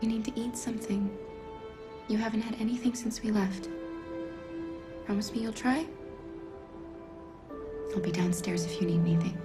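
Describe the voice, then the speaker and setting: A young woman voicing a gentle, protective android runaway in a video game, soft-spoken and earnest. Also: medium pitch, steady tone, normal speed, pauses often, loud music behind the voice, lightly acted. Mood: coaxing. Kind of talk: naturalistic cinematic game acting.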